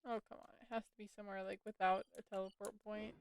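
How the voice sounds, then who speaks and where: A woman's voice: medium pitch, flat delivery, fast, a little hiss or hum. Casual woman streamer muttering over gameplay. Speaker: game streamer. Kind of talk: casual live gameplay commentary. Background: faint game music.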